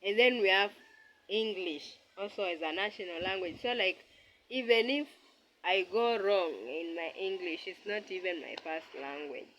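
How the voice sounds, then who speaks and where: A speaker from Kenya with a Kenyan accent, giving a casual, halting talk to camera as an aspiring travel vlogger and often searching for words. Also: medium pitch, a bit bright, a little hiss or hum, poor audio.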